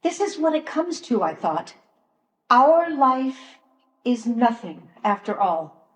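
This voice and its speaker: Woman acting troubled grandmother, biblical stage drama, solemn long pauses, higher pitch, roomy echo. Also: sing-song. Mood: troubled, grieving. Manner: slow, solemn, with long pauses between phrases. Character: sorrowful grandmother in occupied ancient land, a troubled bystander. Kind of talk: dramatic monologue in a church Passion play. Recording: roomy echoing church sound with music.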